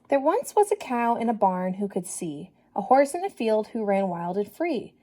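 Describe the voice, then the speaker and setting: A woman reading a children's story aloud like a teacher, animated and encouraging, pausing to put questions directly to young listeners. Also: mid-range voice.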